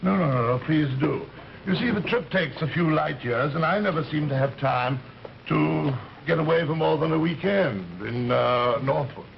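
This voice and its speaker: A man's voice: on the high side, moderate pace, creaky. An actor in an old radio drama playing an urbane alien recruiter, polite and pompous, hesitating and rambling as he talks. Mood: patient explaining. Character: urbane, genteel alien recruiter posing as an Earthman. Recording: muffled, hissy old radio recording.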